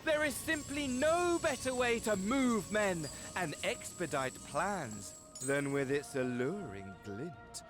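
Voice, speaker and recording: An actor playing a flamboyant, gloating villain in a video game, with florid, courtly mockery. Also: male voice, sits high, big pitch swings, music in the background, heavily acted. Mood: gleeful relish. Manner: florid, grandiose, drawn-out phrasing. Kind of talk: video game cutscene acting.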